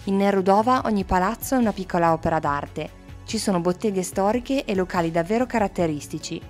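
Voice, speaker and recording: A woman's voice: mid-range voice, a little fast, soft background music. A woman, a travel vlogger reading a scripted travel narration. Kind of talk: scripted travel narration, some casual on-location talk.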